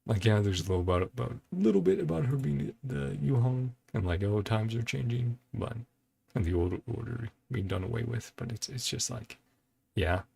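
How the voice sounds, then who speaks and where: A man giving casual reaction commentary as a video host, halting as he thinks aloud. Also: mid-range voice, animated, vocal fry, mellow. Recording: faint music behind the voice. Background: faint music.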